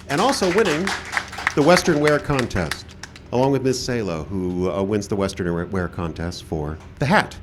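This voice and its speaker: A man's voice: mid-range voice, noisy background. A man hosting a live radio show outdoors, speaking like a showman with informal asides. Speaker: host and announcer. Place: outdoor park stage.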